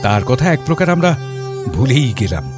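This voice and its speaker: Man acting reflective father narrator, mid-pitched, measured literary storytelling, expressive, music behind. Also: lightly acted. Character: reflective father narrating his own memories. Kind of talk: dramatic audio story narration. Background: background music.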